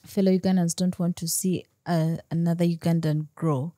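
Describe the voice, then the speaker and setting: Lowish-voiced woman podcast host. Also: flat delivery, moderate pace, mellow, dry recording, clean studio sound. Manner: prompting, frequent "mm" and "yeah" backchannels. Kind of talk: casual interview.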